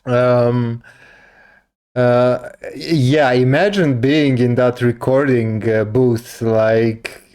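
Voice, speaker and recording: A man in his thirties chatting through a reaction video in a smooth, clear voice. He talks slowly and rambles with many fillers, often breaking into laughter. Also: mid-range voice, steady tone, darkish tone. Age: 30s. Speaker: reaction channel host. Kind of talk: casual reaction commentary.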